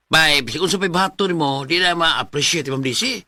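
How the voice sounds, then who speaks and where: Blunt, teasing male office buddy, slightly high voice, Bisaya accent, radio drama. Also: brisk, bright, forceful tone, no room sound, clean studio sound. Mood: chiding. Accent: Filipino, Bisaya.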